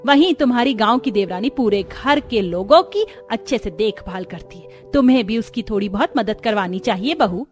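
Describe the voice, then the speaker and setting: A woman's voice: fairly high pitch, animated, moderate pace, hardly any pauses, darkish tone, soft background music, lightly acted. Woman acting a kind, firm mother-in-law. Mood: reproving. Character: composed middle-aged mother-in-law, kind but firm.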